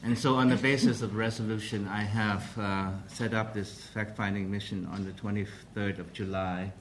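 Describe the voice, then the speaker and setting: Man, low voice, formal press briefing, halting delivery with fillers; slight room noise. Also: fairly even intonation. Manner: hesitant, formal, many pauses and restarts. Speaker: council president briefing the press.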